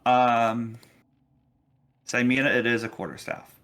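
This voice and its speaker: A man's voice: medium pitch, measured pace, a bit bright. A man acting as game master, casually narrating a tabletop role-playing session and explaining its rules.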